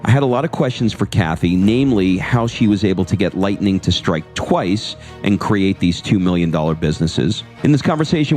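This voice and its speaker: A man's voice: lowish voice, darkish tone. A man hosting an interview podcast, speaking in an upbeat presenter style. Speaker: podcast host. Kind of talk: upbeat scripted introduction and interview.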